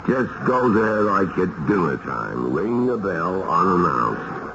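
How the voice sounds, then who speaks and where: An actor playing a gruff, middle-aged American gangster and ailing father in a 1970s radio drama, wheedling in tough, ungrammatical underworld slang. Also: sits low, big pitch swings, slow pace, heavily acted. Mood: conspiratorial. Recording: noisy, dull old radio recording.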